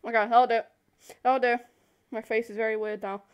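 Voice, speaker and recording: A young woman telling a story casually to camera in an animated, rambling, giggly way, easily sidetracked. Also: a little high, brisk, clear, resonant tone, lo-fi sound. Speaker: video host. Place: bedroom.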